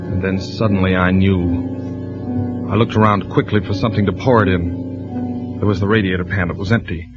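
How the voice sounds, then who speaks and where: A man plays a low-voiced, suspicious husband who narrates his night in slow, brooding sentences. It is an old radio thriller, lo-fi and muffled, with a clear score running beneath. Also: steady tone, lightly acted. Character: suspicious husband, grim first-person narrator. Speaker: lead actor and narrator. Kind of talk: 1940s radio drama acting. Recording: lo-fi old radio broadcast with music.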